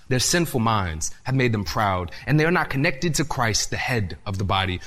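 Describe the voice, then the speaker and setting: A young man preaching a sermon to a church audience and reading scripture aloud, his voice slightly raspy, earnest and emphatic. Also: on the high side. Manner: earnest, lively delivery with rising emphasis.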